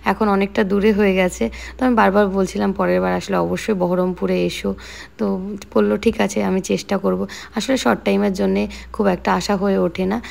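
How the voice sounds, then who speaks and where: A woman's voice: medium pitch, fairly even intonation, moderate pace, creaky, a little mellow. A young woman with a West Bengali accent narrating a casual daily vlog in a chatty, explanatory way. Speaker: vlogger.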